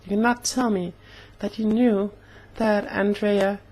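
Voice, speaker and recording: A young woman talking casually in a personal vlog, slightly hoarse, with an earnest, halting way of speaking. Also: medium pitch, steady tone, darkish tone, low-quality recording. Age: young adult. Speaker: vlogger.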